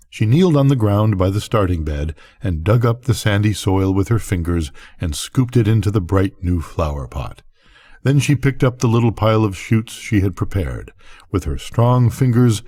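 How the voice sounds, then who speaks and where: An older man narrates a bedtime story. His voice is fairly low and mellow, with a husky grain. He reads expressively in the manner of a storyteller. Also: dry recording, clean studio sound.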